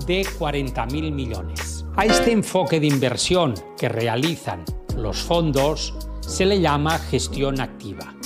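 A man in his fifties giving a scripted, emphatic explainer to camera through a studio microphone in a dim room. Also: mid-range voice. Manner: emphatic, measured delivery. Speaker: finance YouTuber. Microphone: studio mic on stand. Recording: background music under voice.

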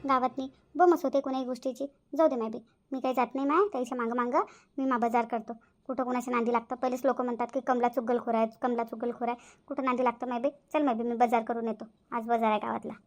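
A woman's voice: on the high side, steady tone, fast, lightly acted. A woman voicing a nosy, gossipy village woman in a cartoon, exclaiming and muttering to herself, with a Varhadi Marathi accent. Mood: suspicious, musing. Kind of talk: cartoon character dialogue.

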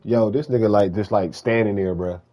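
A man's voice: on the low side, moderate pace, muffled recording, background noise. A man giving casual, slangy gameplay reaction commentary. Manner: slangy, informal. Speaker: gaming video host.

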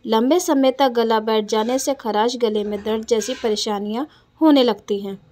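A woman's voice: fairly high pitch, normal speed. Woman reading home remedy tips. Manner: plain, steady reading of written text. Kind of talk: reading tips aloud over text slides.

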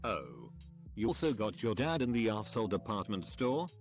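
A man reading a series of online forum comments aloud in a steady, even delivery. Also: on the low side, normal speed, soft background music.

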